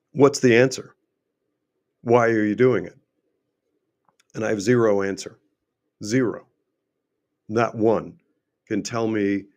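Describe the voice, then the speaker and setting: A middle-aged man hosts a livestream and talks emphatically, asking question after question, and his voice is going hoarse. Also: lowish voice. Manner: emphatic, repetitive, rhetorical questions. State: losing his voice. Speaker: host and finance adviser. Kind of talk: unscripted livestream monologue.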